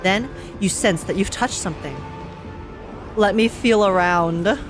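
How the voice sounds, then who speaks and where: A young woman streaming a game, reacting and reading aloud in a playful, expressive way. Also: mid-range voice, unhurried. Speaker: gaming streamer. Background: game music.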